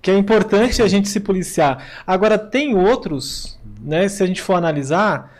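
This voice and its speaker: Soft spoken guy cohost, high voice, kinda fades out at the end. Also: lively intonation, normal speed, a crowd in the background. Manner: soft-spoken, trails off quietly. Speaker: podcast co-host. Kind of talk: casual podcast chat.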